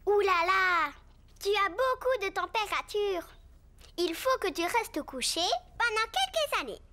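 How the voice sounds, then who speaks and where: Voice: extremely high pitch, big pitch swings, a little slow, bright, forceful tone, slight background noise, heavily acted. Une interprète de dessin animé qui joue une petite cochonne enjouée, un peu autoritaire et enfantine. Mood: playful, bossy. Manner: playful, childlike. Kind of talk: French cartoon dubbing.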